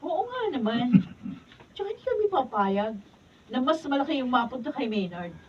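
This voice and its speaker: An actress playing a greedy, spoiled grown daughter in a radio drama, sneering and mocking. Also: female voice, high-pitched, sing-song, moderate pace. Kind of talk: radio drama acting, read from script. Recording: dull lo-fi, distant, faint room noise.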